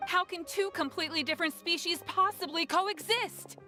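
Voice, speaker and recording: A high, piercing townswoman in a video game. She is hostile and distrustful, speaking in accusations and scorn, with faint music underneath. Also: moderate pace, sharp tone. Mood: suspicious.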